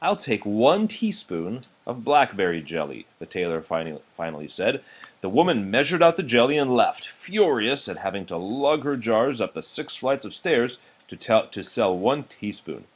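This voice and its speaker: A man reading a children's storybook aloud in an expressive way, pausing to speak to the children with him. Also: mid-range voice, lo-fi sound. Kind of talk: read-aloud of a children's storybook, broken by asides to kids.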